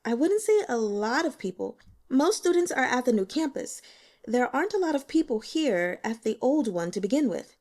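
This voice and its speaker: A woman voicing a nervous, evasive young female student in an audiobook, hesitant and halting. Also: high-pitched, very animated, darkish tone, pitched above the performer's own voice. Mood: explaining. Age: young adult. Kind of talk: audiobook character dialogue.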